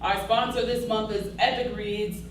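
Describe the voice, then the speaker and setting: A woman's voice: mid-range voice, fairly even intonation, moderate pace, slight background noise. Playful woman co-host, echoey room. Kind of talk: live show banter. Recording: echoey room, distant mic.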